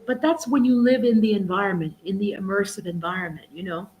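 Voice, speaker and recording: A woman's voice: mid-range voice, quick pace, dull audio. Chatty middle-aged woman teacher. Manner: chatty, with many restarts and "you know". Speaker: guest, English teacher and exam prep tutor. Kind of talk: casual live-stream conversation.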